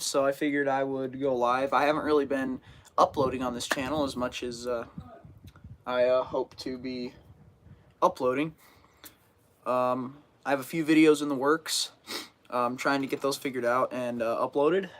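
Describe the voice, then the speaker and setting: A man's voice: medium pitch, steady tone, normal speed, a bit bright. Teenage guy rambling on a live stream. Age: teens. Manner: rambling, many fillers and restarts. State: getting over a cold, sniffling. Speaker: fishing channel host. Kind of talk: casual live stream chat.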